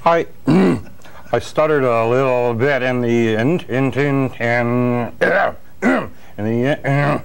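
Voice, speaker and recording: A man playing an aggrieved fired air traffic controller in a deadpan comedy interview, halting with a heavy stutter, repeated words and frequent throat clearing. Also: medium pitch.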